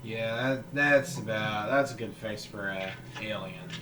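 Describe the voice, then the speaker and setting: A man speaks slowly and haltingly while he plays, thinking aloud and restarting his sentences. His voice sits back from the mic in an echoey room. Game music plays clearly behind him. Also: lowish voice, distant mic. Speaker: gaming channel host. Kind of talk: casual let's-play commentary.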